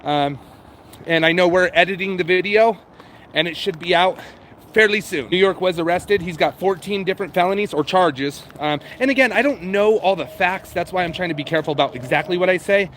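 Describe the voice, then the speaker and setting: High-pitched man livestreaming amid outdoor noise. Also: brisk, fairly bright tone. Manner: informal, sweary, addresses viewers directly. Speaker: livestream host chatting with viewers. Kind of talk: casual livestream chat. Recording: noisy outdoor street and vehicle background.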